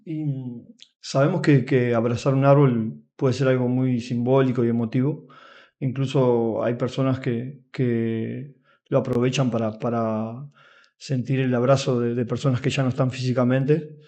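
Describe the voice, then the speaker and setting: A man's voice: mid-range voice, level tone, moderate pace, warm tone. A man speaking as a podcast host in a conversational interview.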